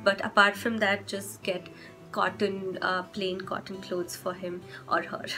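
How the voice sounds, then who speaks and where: A young woman vlogging with chatty parenting advice, her voice hoarse from a cold, often stopping to rephrase with fillers. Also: medium pitch, level tone, measured pace, over music. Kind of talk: casual advice vlog.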